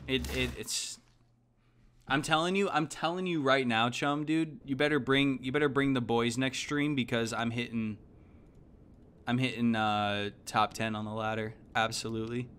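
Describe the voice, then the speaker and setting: A man, giving casual, slangy, animated live game commentary. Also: mid-range voice, normal speed, a little hiss or hum. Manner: animated, exclaiming, thinking aloud. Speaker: game streamer. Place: indoor room at home.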